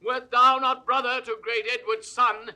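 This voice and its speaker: Snooty petulant king in old shakespeare audio play, high n really cutting voice, imperious. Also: flat delivery, unhurried, bright, forceful tone, full character voice. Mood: outraged. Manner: imperious, crisp declamation. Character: haughty, petulant, callous king. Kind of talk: classical Shakespearean verse acting, 1960s audio drama.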